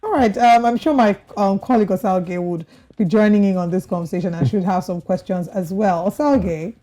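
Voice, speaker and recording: A woman hosts a broadcast interview. Her tone is mellow and her pitch sits in the middle range for a woman. Faint music plays under her questions. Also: fairly quick. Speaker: TV show host.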